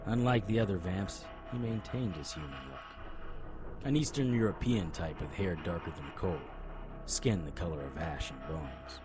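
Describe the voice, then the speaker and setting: Male actor as stubborn investigator, low-pitched, first-person noir narration, audio drama, dry, hard-boiled, brooding. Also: a little slow, music in the background. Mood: grim, wry. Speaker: narrator and lead character.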